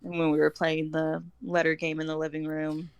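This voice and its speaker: Low creaky woman. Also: completely flat delivery, normal speed. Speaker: podcast guest, homeschooling mother. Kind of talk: casual chat.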